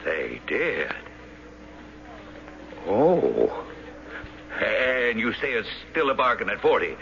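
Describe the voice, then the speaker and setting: An actor playing an excitable, domineering banker and elder brother in an exasperated, theatrical old radio drama style. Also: male voice, lowish voice, slow, heavily acted. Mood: astonished. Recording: dull, noisy old radio broadcast.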